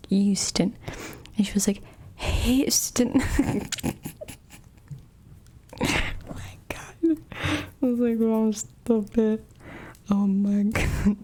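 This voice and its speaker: A woman's voice: medium pitch, dark tone, breathy edge. A woman streamer who whispers casual stories and chat replies, often giggling and sometimes switching into French. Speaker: livestreamer reading chat.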